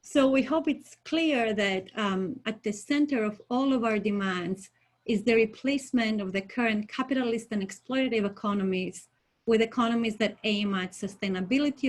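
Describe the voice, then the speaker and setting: Earnest Greek-born woman presenting. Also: mid-range voice. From Greece. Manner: earnest, measured, frequent ums and uhs. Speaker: coalition member presenting a manifesto. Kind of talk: prepared online presentation.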